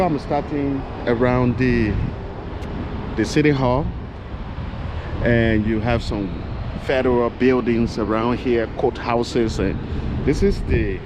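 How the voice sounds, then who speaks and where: A man from Liberia with a Liberian accent, talking casually and loosely while walking outdoors for his vlog. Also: mid-range voice. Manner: loose and rambling, restarts often. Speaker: vlogger. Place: outdoors on city streets. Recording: street and traffic noise. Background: traffic and city street sounds.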